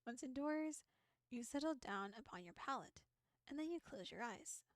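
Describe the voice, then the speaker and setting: A woman's voice: sits high, expressive, moderate pace, dry recording, studio quality. A playful, giggly woman VTuber reading a visual novel aloud and adding casual commentary. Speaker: VTuber streamer.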